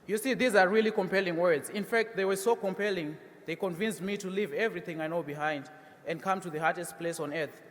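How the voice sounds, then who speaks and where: High-voiced young Zimbabwean man in a reverberant hall. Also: a little fast. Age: young adult. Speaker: student commencement speaker. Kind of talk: prepared graduation speech. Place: large ceremony hall, at a podium.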